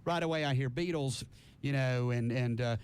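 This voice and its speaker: Effusive man hosting radio, faint rumble. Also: normal speed, a little mellow. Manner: effusive, rambling, informal. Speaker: college radio host. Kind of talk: live band interview on radio. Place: radio station, live broadcast. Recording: faint rumbling background noise.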